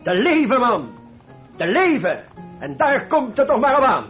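A man's voice: very high voice, sing-song, unhurried, full character voice. A man playing a jovial, plain-spoken painter who loves life, hearty and blunt, in a Dutch radio play. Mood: hearty, delighted. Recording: muffled old radio recording, faint music.

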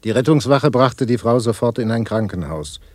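A man's voice: medium pitch, animated, moderate pace, a little mellow, no echo, close to an everyday voice. An actor playing an impassive man reciting matter-of-fact, news-like statements in a radio play. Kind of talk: radio play collage, mock news bulletin.

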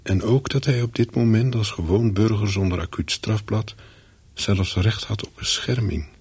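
An actor playing a wary, calculating spy on the run, narrating a radio play in terse sentences with a husky voice. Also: male voice, lowish voice, normal speed, darkish tone, dry recording. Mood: watchful. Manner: terse, brooding, clipped sentences. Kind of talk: first-person radio play narration with dialogue.

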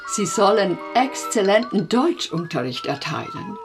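A woman playing a formal, flattering go-between in a German radio play, courteous, precise and persuasive. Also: mid-range voice, measured pace, over music.

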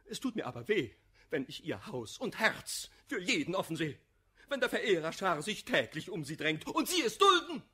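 Passionate, quarrelsome lover in a verse radio play; husky, highly animated, declamatory. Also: male voice, moderate pace, heavily acted. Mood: reproachful. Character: jealous, hot-tempered, lovesick gentleman, bitter and passionate. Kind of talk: classical verse comedy radio play acting.

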